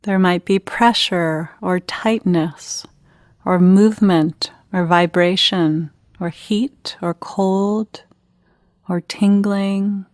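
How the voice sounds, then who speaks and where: A woman leading a guided meditation in an unhurried, gentle, instructional manner with pauses between phrases. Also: medium pitch, steady tone, dry recording, clean studio sound.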